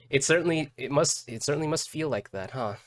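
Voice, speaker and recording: A man doing casual live reaction commentary as a streamer, halting and repeating words as he thinks aloud. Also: fairly high pitch. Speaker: streamer reacting to game videos.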